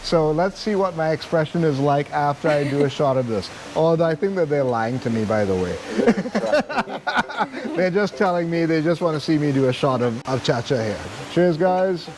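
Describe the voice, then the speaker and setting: A man's voice: fairly high pitch, animated, brisk, hardly any pauses, a crowd in the background, rough recording. A man from Trinidad hosting a travel vlog, chatting casually and with enthusiasm.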